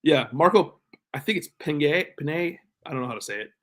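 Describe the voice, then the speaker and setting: A man's voice: medium pitch, sing-song, fast talker. A man giving his picks in casual chat as a panelist on a sports show.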